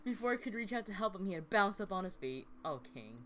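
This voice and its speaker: A woman's voice: medium pitch, very animated, fast, fairly bright tone, a little hiss or hum. A young woman co-hosting a let's play, reading game text aloud and chatting with friends in a playful, giggly way.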